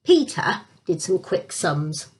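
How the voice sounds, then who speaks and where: A woman reading a scripted Bible story for children, with deliberate emphasis. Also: fairly low pitch, very animated.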